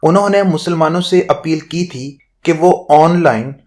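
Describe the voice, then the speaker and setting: A man narrating a scripted news report in a formal, measured manner. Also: on the high side, a little mellow.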